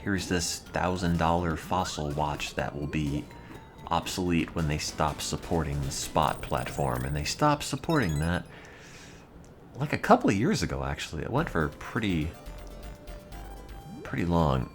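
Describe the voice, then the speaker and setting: A man's voice: a bass voice, sing-song, unhurried. A young adult man streaming and answering his chat in a dry, rambling, offhand way. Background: music playing.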